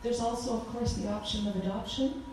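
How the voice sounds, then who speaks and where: Loud earnest woman in an echoey church. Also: a little high, steady tone, a little fast, a little hiss or hum. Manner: earnest, fervent, with many ums. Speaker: guest speaker at a church. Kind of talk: church talk. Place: church hall. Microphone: handheld microphone. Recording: echoey hall from far off.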